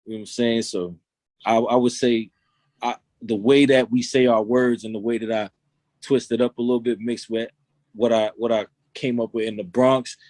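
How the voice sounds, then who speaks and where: A man's voice: medium pitch, fairly even intonation, moderate pace, darkish tone, no room sound. A young man from Long Island, talking loosely in an interview and often saying "you know what I'm saying". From Long Island, New York. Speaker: rapper guest. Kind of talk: casual interview talk.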